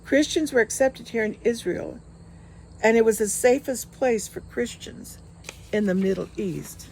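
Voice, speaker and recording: A woman reads fiction aloud in an expressive, dramatic way. Her voice is medium in pitch with a noticeable creak. There is faint ambient noise behind her, with crickets. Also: a little slow, low-quality recording. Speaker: author reading own novel aloud. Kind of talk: expressive audiobook-style narration.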